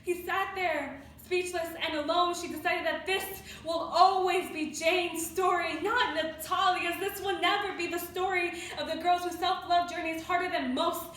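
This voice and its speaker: Echoey, high-pitched teenage girl reciting slam poetry. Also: fairly even intonation, fairly bright tone. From New York City, United States. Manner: deliberate, emphatic delivery with dramatic pauses. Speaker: student slam poet. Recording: echoey room, distant mic, faint noise.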